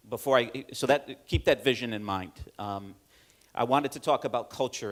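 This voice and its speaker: A middle-aged man from upstate New York giving a conference presentation, telling self-deprecating stories with many fillers and restarts. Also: fairly low pitch. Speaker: corporate learning speaker. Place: conference room.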